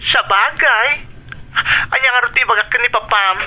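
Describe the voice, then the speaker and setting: A woman's voice: fairly high pitch, moderate pace, pushed and bright. A woman playing a dramatic, sassy young best friend in a comedic radio drama, exclaiming with a Filipino accent and English slang mixed in. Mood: exasperated. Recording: muffled lo-fi telephone-style sound.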